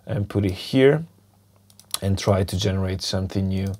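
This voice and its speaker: Man with a cold demoing music software. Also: sits low, normal speed. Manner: relaxed, thinking aloud as he clicks through. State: has a cold, losing his voice. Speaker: music production tutor. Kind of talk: screen-recorded software tutorial. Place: small dim home studio, indoors. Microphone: lapel mic. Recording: music clearly under the voice. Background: music playing from the session.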